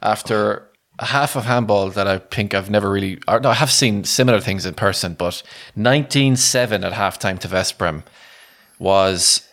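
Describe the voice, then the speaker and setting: A man's voice: on the low side, moderate pace, a bit bright. A man co-hosting a sports podcast, casually recounting matches in a conversational way. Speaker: podcast co-host and match reporter.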